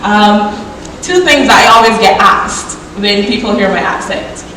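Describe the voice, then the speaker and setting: A woman in her twenties from Barbados with a Barbadian accent, giving a lively, chatty conference talk and addressing the audience directly. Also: mid-range voice, unhurried, background noise. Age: 20s. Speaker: conference speaker. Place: large conference hall. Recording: echoey hall from far off.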